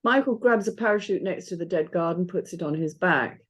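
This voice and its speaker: A woman's voice: on the low side, fairly quick, breathy edge. A woman reading out stage directions as scripted narration.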